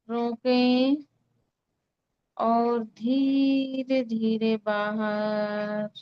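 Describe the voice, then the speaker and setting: Very slow-speaking woman guiding meditation. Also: on the high side, clear, resonant tone, lo-fi sound. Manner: calm, soothing, unhurried. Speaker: meditation guide and Reiki healer. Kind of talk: guided meditation, slow instructions with long pauses.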